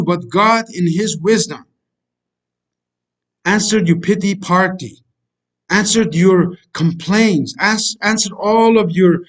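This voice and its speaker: A middle-aged man whose native language is Kurdish, giving a motivational voice-over lecture over slides. His voice sits noticeably high for a man. He preaches in a repetitive way and keeps calling the listeners friends. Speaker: life coach.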